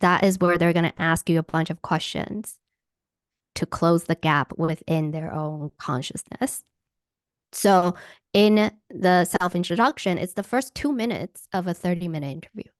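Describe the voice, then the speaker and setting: A woman from Hawaii, a workshop coach, answering questions on a video call with an animated manner. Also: lowish voice, normal speed, no room sound, clean studio sound. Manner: animated, acts out enthusiastic quotes.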